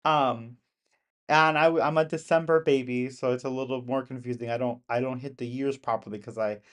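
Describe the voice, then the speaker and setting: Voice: mid-range voice, fairly even intonation, brisk. A person in their thirties chatting casually with live-stream viewers from home in the countryside, rambling and laughing often. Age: 30s.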